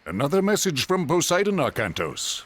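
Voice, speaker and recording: Solemn, pious leader, fairly high-voiced. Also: male voice, huge pitch swings, normal speed. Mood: grave. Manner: solemn, portentous. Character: grave, pious father and Atlantean leader. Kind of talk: video game cutscene acting.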